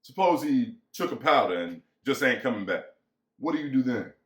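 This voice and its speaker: A man's voice: mid-range voice, very animated, moderate pace, natural voice. A man acting as bitter, lonely American ranch hand, echoey. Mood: musing, pressing. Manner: folksy rural vernacular, musing and pressing. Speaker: actor. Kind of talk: dramatic reading of a novel. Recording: echoey room.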